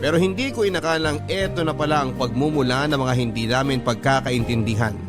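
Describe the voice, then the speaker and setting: A man's voice: medium pitch, fairly quick, natural voice. A man narrating a letter of college heartbreak in scripted radio storytelling style. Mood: reflective, earnest. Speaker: radio drama host reading a letter. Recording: music bed under the voice. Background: background music.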